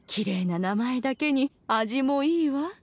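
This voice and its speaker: A woman's voice: sits high, animated, measured pace. A woman voicing a proud immortal lady who poses as a doting auntie in a video game, theatrical and mannered. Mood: doting, chatty. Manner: switches between doting feminine chatter and archaic haughty speech. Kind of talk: Japanese video game voice acting.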